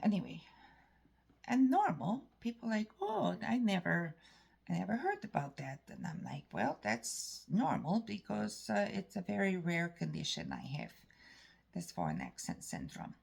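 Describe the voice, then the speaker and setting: A woman with an Eastern European-sounding accent tells a story to camera in a rambling, halting way. Her voice is gravelly and husky, and she speaks very slowly. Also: lowish voice, huge pitch swings, darkish tone. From Canada. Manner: rambling, halting, frequent restarts. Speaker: vlogger. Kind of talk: casual storytelling monologue.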